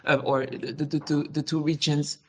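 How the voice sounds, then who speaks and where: A woman's voice: deep voice, flat delivery, normal speed, slightly rough. Frank, reflective businesswoman. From Switzerland and Senegal. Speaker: company chief executive, podcast guest. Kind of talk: conversational interview answers.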